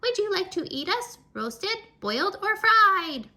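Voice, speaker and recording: A woman voicing eager talking cassava roots in a children's story, excited and keen to please. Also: extremely high pitch, unhurried, pitched above the performer's own voice, full character voice.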